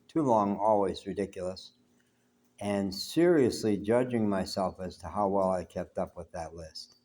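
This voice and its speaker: A man's voice: sits low, unhurried, dark tone. An older man from the United States, talking in a reflective, rambling way to camera from his apartment, saying he has a big voice. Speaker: vlogger. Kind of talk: reflective solo talk.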